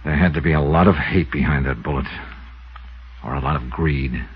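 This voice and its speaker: A man's voice: rumbling low, normal speed, husky, heavily acted. A man playing a cool, probing American insurance investigator in a 1950s radio drama, with a dry, clipped manner. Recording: dull, noisy old radio recording.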